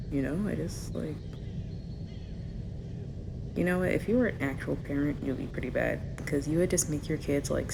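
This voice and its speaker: Woman acting a low, creaky-voiced young dragon girl. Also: noisy background. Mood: bored, defensive. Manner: hesitant, musing, casual modern phrasing. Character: restless, lonely young half-human dragon girl, sulky and teasing. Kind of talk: ASMR roleplay, intimate monologue to a mentor.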